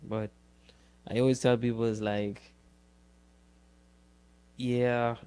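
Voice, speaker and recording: A man hosting a casual interview, halting and repeating words as he works out his questions. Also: medium pitch, little pitch movement, warm tone, clean tone. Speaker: podcast host.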